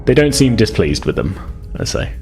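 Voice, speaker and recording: A man's voice: fairly low pitch, very animated, normal speed, vocal fry, a little mellow, soft background music. A man running a tabletop game as game master, improvising narration in a hesitant, conversational way.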